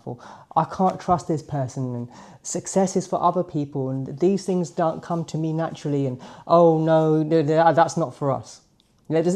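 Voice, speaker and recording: A man's voice: a little high, mellow. A man in his late forties with a British accent, chatting casually to camera in a jokey vlog with playful asides. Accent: British English. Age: 40s. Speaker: vlogger.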